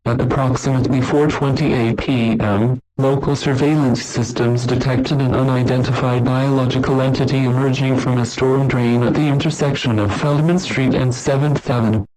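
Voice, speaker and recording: A male announcer with a mid-range voice reads an emergency alert. His delivery is formal and measured, with an impersonal tone suited to an official scripted broadcast. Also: mid-range voice, fairly even intonation, a little mellow, rough recording.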